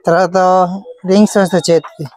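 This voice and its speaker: Brisk high-voiced shopkeeper, very fast. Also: male voice, steady tone, a crowd in the background. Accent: Telugu, Hyderabad. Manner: brisk, repeats prices, keeps telling the viewer to look. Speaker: wholesale shopkeeper. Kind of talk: walking through a shop showing goods and prices. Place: busy wholesale fancy store.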